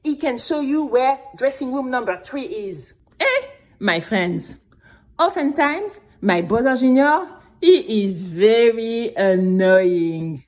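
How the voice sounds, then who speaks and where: A woman playing a brave young girl who tells her own story in an expressive, exclamatory way for a children's audio drama. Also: a little high. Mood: urgent realisation, then knowing, playful. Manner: expressive, exclamatory, with drawn-out emphatic words.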